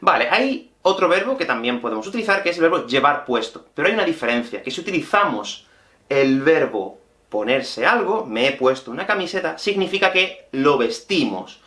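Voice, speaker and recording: A man in his thirties from Spain with a Peninsular Spanish accent, teaching a language lesson to camera in an expressive, explanatory way. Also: fairly high pitch, normal speed. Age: 30s. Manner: expressive, explanatory, addresses viewers directly. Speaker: Spanish teacher and video host. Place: small indoor room with whiteboard and bookshelf. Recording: echoey small room.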